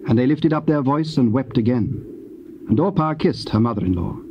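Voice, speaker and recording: A man narrating scripture in a solemn, measured, formal style as part of an audio drama. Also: medium pitch, expressive, lightly acted. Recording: muffled hissy old cassette tape.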